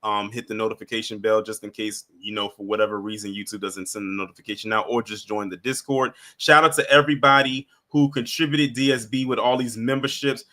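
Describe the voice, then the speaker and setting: A man's voice: fairly low pitch, fairly even intonation, fairly quick, hardly any pauses, sharp tone. A man hosting a casual livestream, reading out viewer comments in a laid-back way with frequent chuckles. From US East Coast. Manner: laid-back, chuckles often, trails off and restarts. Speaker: livestream host.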